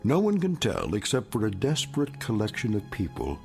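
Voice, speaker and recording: A man narrating an anthology drama from a script in an ominous, measured manner. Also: lowish voice, huge pitch swings, vocal fry, darkish tone, faint music behind.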